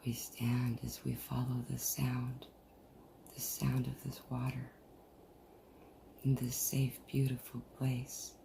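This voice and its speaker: A person leading a guided meditation in a soothing, deliberate manner with long pauses, recorded over a video call. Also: medium pitch, completely flat delivery, gravelly. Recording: noisy, muffled group video-call audio.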